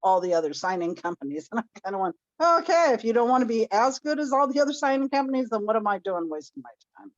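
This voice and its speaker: A woman's voice: a little high, big pitch swings, fast, few pauses, dry recording. A woman talking casually as a participant on a group video call. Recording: dull, dry call audio.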